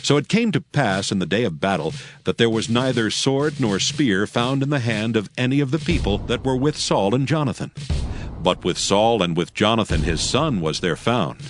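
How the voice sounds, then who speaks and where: A man narrating scripture as a scripted dramatic audiobook in solemn, measured storytelling. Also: mid-range voice, a little hiss or hum.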